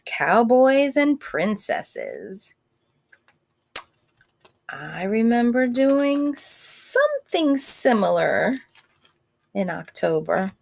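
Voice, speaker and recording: Slow-paced woman reading a storybook to children. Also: medium pitch, creaky. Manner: simple, child-directed, pausing to ask questions and explain words. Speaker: teacher reading a picture book to children. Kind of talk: read-aloud storytime with asides and questions.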